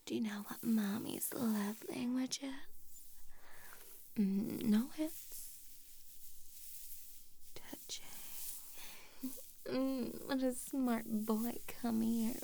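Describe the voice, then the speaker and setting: A woman's voice: a little high, fairly even intonation, very slow, gravelly, pauses often, slight background noise, full character voice, low-quality recording. A woman playing a tipsy, doting older neighbor. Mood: whispery. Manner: soft flirty coaxing, slipping into whispers. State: a little drunk. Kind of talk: audio roleplay.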